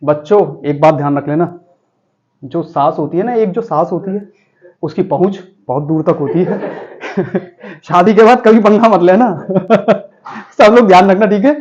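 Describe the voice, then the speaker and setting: A man's voice: sits high, a little slow, darkish tone, lo-fi sound. A man teaching vocabulary to students in a chatty, joking classroom style, often calling them children and friends.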